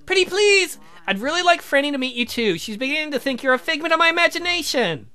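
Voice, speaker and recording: A man's voice: very high voice, lively intonation, fast talker, pushed and bright, pitched above the performer's own voice, exaggerated voice. A man voicing a sweet, eager young woman with a coy, slightly hesitant manner in a visual novel.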